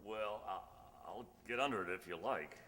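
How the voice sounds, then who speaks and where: Shy, low-voiced bookish teacher played by a man, echoey hall. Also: bright, forceful tone. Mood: flustered, pedantic. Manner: earnest, halting, pedantic. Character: shy, oblivious male biology teacher. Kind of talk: radio sitcom acting. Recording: echoey hall, noisy background.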